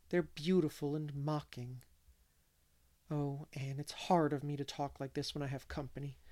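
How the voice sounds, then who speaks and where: A reader voicing a wistful, gentle unmarried lady in an audiobook, speaking with soft sighing longing. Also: mid-range voice, lightly acted. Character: wistful, gentle older-ish spinster lady. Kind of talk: audiobook character reading.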